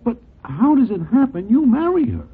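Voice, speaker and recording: Slangy broadway storyteller, super animated high voice, old tinny radio recording. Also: male voice, fairly quick, husky, exaggerated voice. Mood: surprised, amused. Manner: formal present-tense gangster slang, wry. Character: wry, slangy Broadway wise guy storyteller. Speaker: narrator. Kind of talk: 1940s radio acting.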